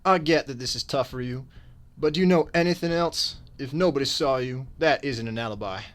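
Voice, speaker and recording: Male detective, acted by a man for radio drama: medium pitch, businesslike, tired of it all, firm delivery. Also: fairly quick, a bit bright, close to an everyday voice. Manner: firm, matter-of-fact. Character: weary, businesslike male detective questioning suspects.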